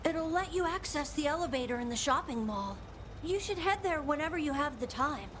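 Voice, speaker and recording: A woman voicing a gentle, wistful male creature in a video game, with a soft, lilting voice. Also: high voice, animated, brisk. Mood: grateful. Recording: game audio with clear background noise.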